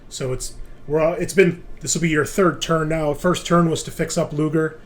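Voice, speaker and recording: A man's voice: a little high, steady tone, normal speed. A man casually narrating and running a tabletop roleplaying game as game master. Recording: music playing under the voice. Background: background music.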